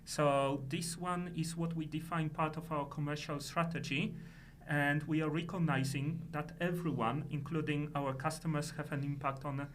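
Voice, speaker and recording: A middle-aged man from Poland with a Polish accent, answering interview questions as a sustainability manager in halting, measured phrases. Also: on the high side, flat delivery, slight background noise.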